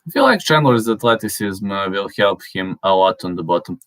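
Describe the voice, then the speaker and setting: A man, a native Serbian speaker, chatting casually and reading out viewer comments, halting with fillers and restarts and spelling out words he can't pronounce. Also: low-pitched, sing-song. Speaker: channel host.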